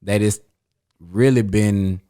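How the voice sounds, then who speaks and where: A man hosts a relaxed chat among friends. He talks in loose, rambling stretches full of slang and fillers. His voice sits on the low side. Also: slow, clear, resonant tone. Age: 30s. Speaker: podcast host.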